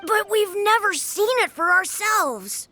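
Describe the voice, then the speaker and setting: A woman voicing an eager, excitable small child in an animated video game. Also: very high-pitched, unhurried, full character voice. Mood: impatient.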